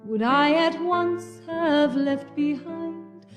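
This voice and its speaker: A woman singer performing a slow hymn, with a long reverberant sound. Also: high-pitched. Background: music.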